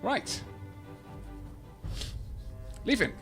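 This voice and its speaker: A man's voice: extremely slow, fairly bright tone, soft background music. Wry rambling male game streamer. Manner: rambling, wry, thanks viewers by name. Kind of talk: live-stream gameplay chat.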